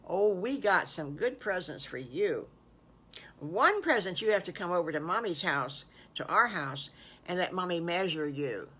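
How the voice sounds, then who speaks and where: A woman's voice: on the low side, animated, a little slow, fairly bright tone, husky, full character voice. A woman voicing an eager, friendly young pig playmate in a children's story, emphatic and reassuring. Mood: excited.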